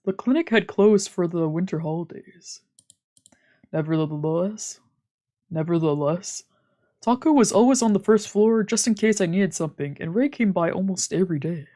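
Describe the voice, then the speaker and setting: A woman's voice: lowish voice, normal speed, mellow. A woman streamer reading a visual novel aloud as dramatic narration. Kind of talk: dramatic narration of a let's play.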